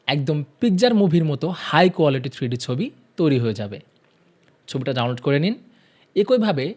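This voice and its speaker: Lively, higher-pitched male tutorial presenter. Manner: addresses viewers directly with step-by-step instructions. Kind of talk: instructional tutorial narration.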